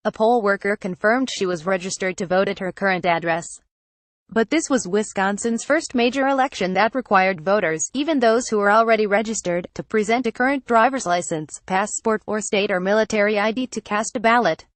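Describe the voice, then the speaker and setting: A woman's voice: mid-range voice, a little fast, no echo. Woman narrating a news feature, dry. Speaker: narrator reading a magazine article.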